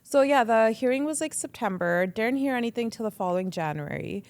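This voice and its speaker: A woman's voice: a little high, expressive, darkish tone, no echo. Young woman guest, casual storyteller, lots of "like", resonant voice on a dry mic. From Canada. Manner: chatty, quick, says "like" often, acts out her own thoughts. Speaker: guest, radio entrepreneur. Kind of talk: casual podcast interview.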